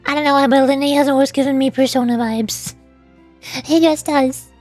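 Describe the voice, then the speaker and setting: A woman's voice: sits high, fairly even intonation, normal speed, darkish tone, faint music behind. A woman streamer chatting casually as she plays through a game. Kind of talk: casual game stream chat with read-aloud dialogue.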